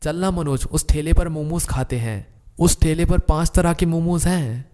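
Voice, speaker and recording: A man playing a greedy, boastful young son with a glib, persuasive manner in an animated moral story. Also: a little high, moderate pace, natural voice. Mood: casual, curious.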